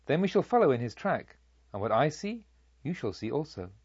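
Storybook holy monk, man reading the part: calm, measured, gently admonishing, a touch husky, dull recording. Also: mid-range voice, dry recording. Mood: serene knowing. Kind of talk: dramatised audiobook dialogue.

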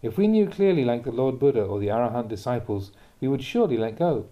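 A man reading a Buddhist teaching aloud from a script in a measured, deliberate, instructive manner. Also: mid-range voice, sing-song, highs cut off. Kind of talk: scripted reading of a dharma talk.

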